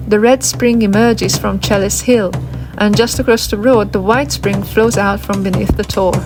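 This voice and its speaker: Woman narrating over prominent music. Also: medium pitch. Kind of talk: scripted documentary narration. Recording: music under the voice.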